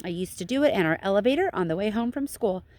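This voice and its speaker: A woman voicing a brash, chatty, excitable and boastful gum-chewing girl in a children's storybook. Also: medium pitch, quick pace, no echo, lightly acted. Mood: gloating, excited. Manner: chatty, self-satisfied, excitable. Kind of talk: children's storybook read-aloud.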